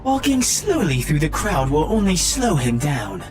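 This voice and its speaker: A man's voice: fairly high pitch, sing-song, a little slow, pitched above the performer's own voice. A man voicing a smug, secretive artificial intelligence guide in a video game, theatrical and teasing. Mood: instructing. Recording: loud background music.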